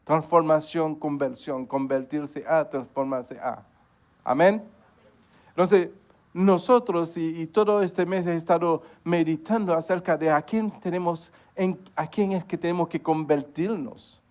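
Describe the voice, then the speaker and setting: A man's voice: a little high, fairly even intonation, unhurried, darkish tone. Preaching man with frequent amens. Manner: preaching with frequent amens, rising emphasis and sudden drops. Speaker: pastor. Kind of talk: sermon.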